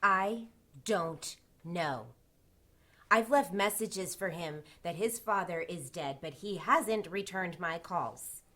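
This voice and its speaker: A woman's voice: on the low side, bright, forceful tone, exaggerated voice. Icy, defiant young woman. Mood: angry, defiant. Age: 20s. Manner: curt, sarcastic, measured. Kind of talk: audio drama acting.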